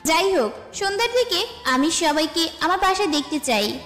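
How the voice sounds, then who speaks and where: A woman's voice: very high voice, very animated, moderate pace, a bit bright, full character voice. A woman playing an affectionate, insistent young college student in an audio story. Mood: insistent, friendly. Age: young adult. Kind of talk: dramatised audio story acting. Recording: echoey reverb with music behind.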